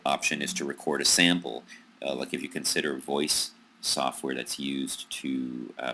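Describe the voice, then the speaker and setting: A man with an American accent giving a recorded psychology lecture over slides, pausing often with ums and restarts. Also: very deep voice, creaky, sharp tone. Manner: hesitant, many ums and restarts.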